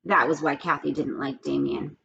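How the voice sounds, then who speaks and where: A woman's voice: sits low, steady tone, moderate pace, creaky, sharp tone, dull audio, a little hiss or hum. A woman reading a children's storybook aloud, expressively and with asides, speaking straight to young listeners.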